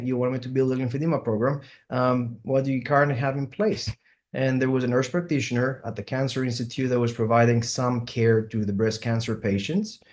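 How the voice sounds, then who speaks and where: Greek-accented man presenting, full of ums. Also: mid-range voice. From Greece. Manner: hesitant, many ums and uhs. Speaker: plastic surgeon presenting. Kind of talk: online symposium presentation.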